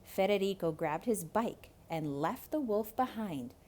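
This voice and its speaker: A woman narrating a children's story as a theatrical read-aloud, dramatic and expressive. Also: mid-range voice, a little slow, slight background noise.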